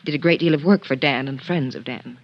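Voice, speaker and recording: A woman's voice: extremely low pitch, brisk. A dull 1950s radio recording holds a middle-aged woman as an estranged wife. She sounds anxious and guarded, with the careful delivery of period radio drama. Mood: hesitant, subdued.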